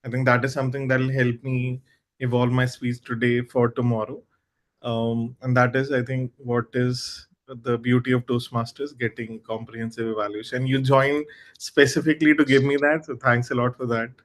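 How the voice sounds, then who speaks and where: A man's voice: medium pitch, flat delivery, moderate pace. A man, acting as general evaluator at a club meeting and thanking speakers in an appreciative way over a video call. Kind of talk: meeting facilitation.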